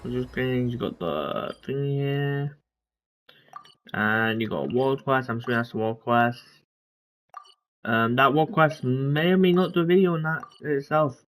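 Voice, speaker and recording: A man giving casual, rambling, halting commentary on the game he is playing. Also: medium pitch, fairly bright tone. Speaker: gamer commentating on his play. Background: game music.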